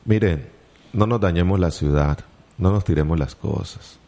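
Deep-voiced chatty Colombian radio host. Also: male voice, normal speed, vocal fry, mellow. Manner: joking, chatty, teasing asides. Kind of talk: casual morning radio chat. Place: radio studio.

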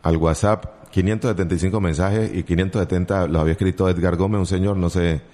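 Deep-voiced chatty Colombian radio host. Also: male voice, fairly even intonation, fast talker, dark tone. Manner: joking, chatty, teasing asides. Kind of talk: casual morning radio chat. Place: radio studio.

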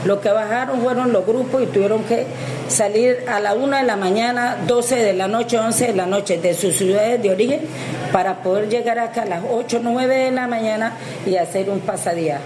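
A woman's voice: a little high, normal speed, crowd noise. A woman who works in local tourism, talking at length in a rambling news interview, often restarting her sentences. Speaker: tourism service provider. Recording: echoey with noise.

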